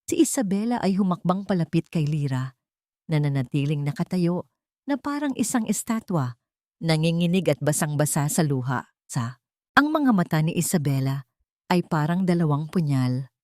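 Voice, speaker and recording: A woman narrates a scripted romantic drama in a low register. Her delivery is measured and dramatic, like an audio storybook. The sound is dry, with little room around it. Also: very animated, mellow, no echo.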